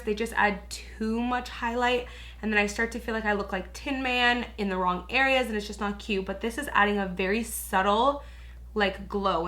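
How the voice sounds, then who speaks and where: A young woman chatting through a makeup tutorial with a raspy, hoarse voice, gushing and peppering her talk with filler words. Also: medium pitch, pushed and bright. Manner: gushing, chatty, lots of "like" and "you guys". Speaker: beauty vlogger. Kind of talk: casual makeup tutorial chat.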